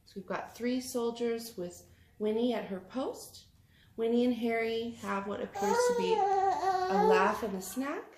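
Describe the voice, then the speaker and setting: Echoey, higher-voiced woman reading to children. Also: expressive, a little slow, a little mellow. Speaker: tutor reading a picture book aloud. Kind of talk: children's story read-aloud. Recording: echoey room, clear background noise, clicks.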